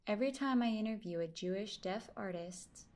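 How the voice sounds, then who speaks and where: A woman voicing a host's interview questions, with steady, deliberate phrasing. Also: mid-range voice.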